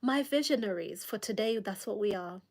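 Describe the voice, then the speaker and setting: A woman's voice: mid-range voice, expressive, normal speed, darkish tone, slight background noise. Chatty Kenyan woman hosting a livestream. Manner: chatty, laughs often, reads viewer comments aloud. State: lingering cold with coughs. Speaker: livestream host. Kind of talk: casual late-night livestream chat.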